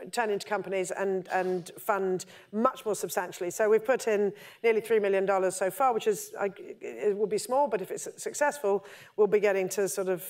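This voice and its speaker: Middle-aged woman presenting, pausing often. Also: medium pitch, darkish tone. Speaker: venture capital investor giving a keynote. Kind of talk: conference presentation, semi-scripted talk with slides. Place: stage at a conference, indoors.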